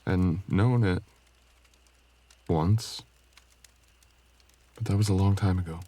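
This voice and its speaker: A man playing a brooding, gentle dragonkin stranger in an intimate roleplay audio, speaking softly and hesitantly in courtly phrasing. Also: takes it slowly. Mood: wistful. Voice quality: low, gravelly, husky. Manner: soft, hesitant, tender, archaic courtly phrasing.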